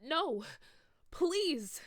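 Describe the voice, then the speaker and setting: A woman's voice: sits high, very animated, drawn-out words, fairly bright tone, no echo, studio quality, voice pushed up, exaggerated voice. A woman playing a hot-tempered, melodramatic teenage goth girl in a story reading, overwrought and exclamatory. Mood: scared pleading.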